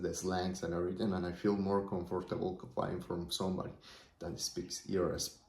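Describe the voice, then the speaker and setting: A man's voice: sits low, darkish tone. A man from Colombia, a native Spanish speaker with a Colombian accent, talking through his business plans in a rambling, casual monologue and often checking in with "right?". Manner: rambling, keeps asking "right?". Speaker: small business owner vlogger. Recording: roomy echo.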